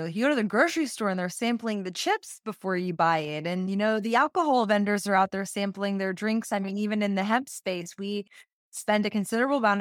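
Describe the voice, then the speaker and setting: A woman's voice: medium pitch, no echo, clean studio sound. A woman hosting an interview podcast, chatting in a casual, upbeat way with plenty of fillers. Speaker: podcast host.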